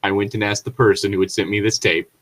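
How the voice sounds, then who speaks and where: Low-pitched man, casually rambling commentary. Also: steady tone, quick pace, a bit bright. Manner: sweary, rambling, incredulous. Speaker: co-host reading and reacting to online horror stories. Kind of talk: casual commentary and reading aloud.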